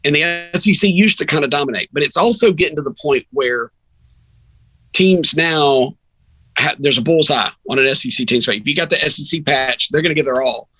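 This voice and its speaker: A man's voice: on the high side, fairly quick, sharp tone, breathy edge, no room sound, poor audio. A man talking sports casually as a podcast guest, opinionated and emphatic, often rambling into asides. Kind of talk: sports talk, casual conversation.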